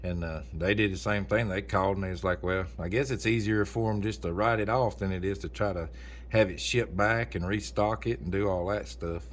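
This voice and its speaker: A man's voice: lowish voice, steady tone, fairly quick, a little hiss or hum. A man chatting casually as an amateur radio operator, in a folksy, rambling way. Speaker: amateur radio operator recording for a video channel. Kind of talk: casual chat over ham radio.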